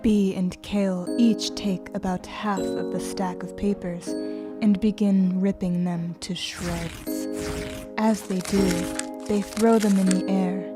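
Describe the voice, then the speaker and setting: A woman's voice: mid-range voice, fairly even intonation, slow pace, loud music behind the voice, lightly acted. A woman narrating a scripted audio drama.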